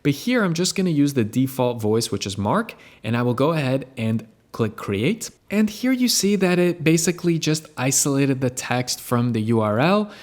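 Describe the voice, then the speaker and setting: A man narrating a software tutorial in a casual, step-by-step way as he demonstrates on screen. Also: medium pitch, sing-song, moderate pace, hardly any pauses.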